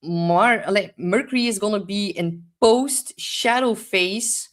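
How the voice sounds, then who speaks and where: A woman's voice: mid-range voice, slow pace, a bit bright. A young woman with a Dutch accent gives a rambling, casual astrology forecast, full of "like" fillers, sometimes searching for English words. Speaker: astrologer host.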